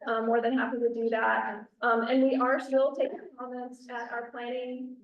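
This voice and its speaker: A woman's voice: on the high side, flat delivery, normal speed, dull audio. A woman on county planning staff presenting at a public community meeting, often restarting and correcting herself mid-sentence. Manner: frequent self-corrections and restarts; says she has a loud outside voice. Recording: echoey room, far from the mic.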